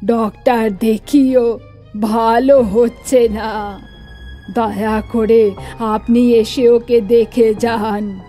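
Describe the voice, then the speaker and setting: Woman playing a slow, dark-voiced worried old grandmother. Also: on the high side, flat delivery, soft background music. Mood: worried, grave. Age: older. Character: concerned old grandmother who believes in spirits. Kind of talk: animated horror story dialogue.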